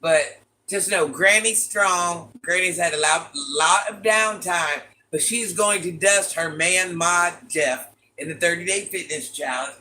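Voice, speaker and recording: An older woman with a very deep voice, hosting a casual livestream, reading viewer comments and playfully trash-talking. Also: unhurried, bright, forceful tone. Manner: playful trash talk, sassy asides. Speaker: livestream host.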